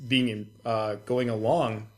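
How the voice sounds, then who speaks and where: A man's voice: mid-range voice, flat delivery, a little slow. A young man co-hosting a podcast, chatting casually about video games in a gushing, profanity-heavy way. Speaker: podcast co-host.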